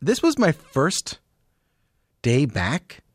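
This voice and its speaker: A man hosting an interview show in a conversational style with informal asides, his voice slightly croaky as if he is losing it. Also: fairly high pitch, huge pitch swings, very slow, no room sound, clean studio sound. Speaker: podcast and radio show host. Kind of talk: conversational scripted introduction and interviewing.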